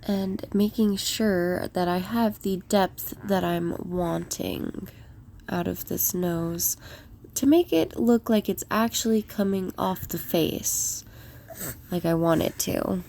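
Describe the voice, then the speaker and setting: A woman with a croaky voice from a cold, narrating a drawing tutorial in an unhurried way while she shades. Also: lowish voice, darkish tone, slight background noise. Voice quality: gravelly. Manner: unhurried, talks while shading. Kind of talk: relaxed drawing tutorial voice-over.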